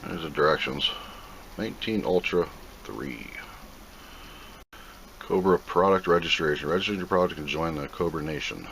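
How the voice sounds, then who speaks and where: A man's voice: a bass voice, normal speed, long pauses, a bit bright, low-quality recording. A man giving a casual, plain-spoken unboxing commentary, rambling and thinking aloud as he goes. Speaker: video host reviewing a product. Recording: clear background noise.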